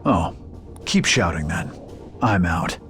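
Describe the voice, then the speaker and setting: Low-voiced resolute rabbit hero. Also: male voice, expressive, takes it slowly, a bit bright, heavily acted. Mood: dry. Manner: terse, dry. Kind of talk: video game cutscene acting. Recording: game music behind the voice.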